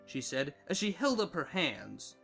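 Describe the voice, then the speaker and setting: A man narrating a scripted story with dramatic, expressive delivery. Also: a little high, a little slow, creaky. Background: background music.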